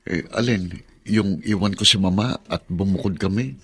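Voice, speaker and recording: Troubled, lowish-voiced young husband. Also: male voice, normal speed, fairly bright tone, breathy edge, dry recording, full character voice. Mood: torn. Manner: downcast, burdened. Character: troubled, torn young husband and dutiful son. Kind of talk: Filipino radio drama acting.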